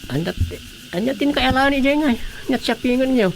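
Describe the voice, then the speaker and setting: A man's voice: very high voice, expressive, a little fast, gravelly, exaggerated voice. A man with an Ilocano accent playing a grumbling husband in a radio drama comedy. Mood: teasing. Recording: noisy, insect-filled ambience behind.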